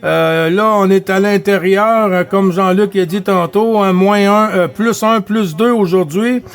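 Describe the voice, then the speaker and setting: A man with a Québécois accent running a CB radio net in an unhurried, chatty way, with frequent hesitations and tag words. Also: high voice, fairly even intonation. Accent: Québécois French. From Quebec.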